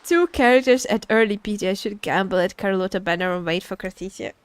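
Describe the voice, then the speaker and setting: A woman's voice: mid-range voice, fast, clean tone, dry recording. A woman game streamer chatting casually and playfully over gameplay, often repeating her phrases. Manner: playful, repeats phrases, teasing role-play as a vampire. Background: video game music.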